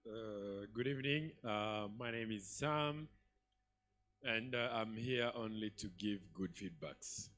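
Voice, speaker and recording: A man from DR Congo with a Congolese accent, giving impromptu feedback at an event, halting between his points. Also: lowish voice, a bit bright. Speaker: summit attendee giving feedback. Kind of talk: impromptu public remarks.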